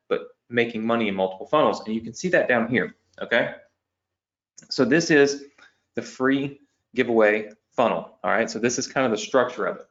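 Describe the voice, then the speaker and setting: A man's voice: medium pitch, fairly bright tone, muffled recording. A man who is losing his voice, teaching an online business lesson at a whiteboard and checking in with "okay?" and "right?" after each point. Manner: tag questions after each point, explaining step by step.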